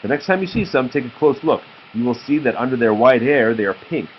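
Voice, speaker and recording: A man's voice: medium pitch, expressive, quick pace. A man reading a children's story aloud as a father to his child, with a patient manner. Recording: slightly muffled, faint background noise.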